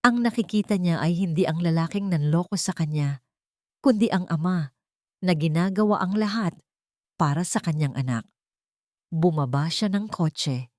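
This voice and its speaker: A woman with a Filipino accent reading a scripted dramatic story in a sentimental, measured storytelling delivery. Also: lowish voice, warm tone, dry recording, clean studio sound.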